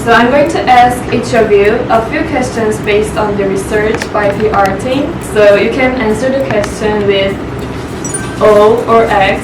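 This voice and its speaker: A woman's voice: mid-range voice, a little slow, reverberant, recorded from a distance, noisy background. A young woman from Korea hosting a student talk, reading out questions and laughing often. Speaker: host, student ambassador. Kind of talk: interviewing guests.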